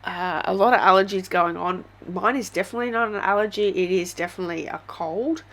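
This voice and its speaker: A woman's voice: medium pitch, fairly quick, fairly bright tone. An Australian woman with a head cold, chatting through a casual step-by-step craft tutorial with many ums.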